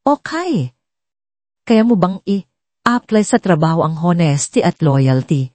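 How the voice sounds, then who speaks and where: A woman's voice: fairly low pitch, sing-song, slow, a little mellow, dry recording, exaggerated voice. Woman voicing a curt, commanding Filipino male boss. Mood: testing. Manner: brusque, bossy, mixes English into orders. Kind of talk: storybook drama dialogue.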